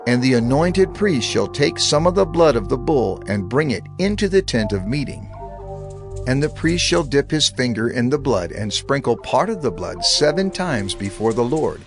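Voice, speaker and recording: A man narrating scripture in a measured, reverent reading with expressive rise and fall. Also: medium pitch, creaky. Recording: narration over background music.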